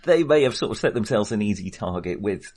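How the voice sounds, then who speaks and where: A man talks casually about films in a low, rough-edged voice. His intonation moves around a great deal. He feels his way through each thought, backing up and rewording as he goes. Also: lively intonation, brisk, darkish tone. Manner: hesitant, thinking aloud, self-correcting. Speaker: film podcast host. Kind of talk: casual film discussion.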